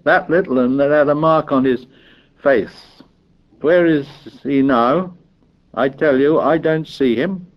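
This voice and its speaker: A man's voice: on the high side, takes it slowly, highs cut off, pitched above the performer's own voice. A man voicing an indignant, fearful schoolboy who scolds and pleads in ungrammatical working-class British speech in an audiobook story. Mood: fearful, urgent.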